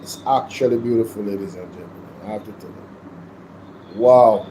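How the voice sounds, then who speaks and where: A man's voice: lowish voice, normal speed, mellow, slight background noise, poor audio. A man with a Jamaican accent hosting a reaction show, commenting emphatically on a political interview clip. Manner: emphatic, sarcastic asides.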